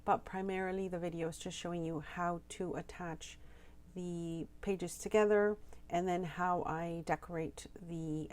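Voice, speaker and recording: A woman with a slightly hoarse voice, narrating a crafting tutorial voiceover, soft-spoken and explaining with frequent ums. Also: on the low side. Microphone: home voiceover mic.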